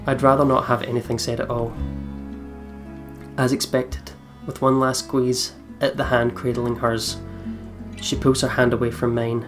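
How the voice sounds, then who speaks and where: A man's voice: medium pitch, level tone, a little slow. A man reading the narration of a visual novel aloud as a let's play host. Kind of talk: scripted narration read aloud. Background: game music with guitar.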